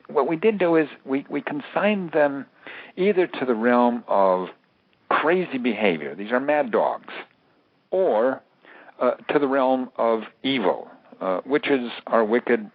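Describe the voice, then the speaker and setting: A man giving measured expert explanations as an interview guest, deliberate and halting, laying out points in short phrases. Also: medium pitch, no echo. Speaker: interview guest, author and terrorism analyst. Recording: thin, dry phone-line sound.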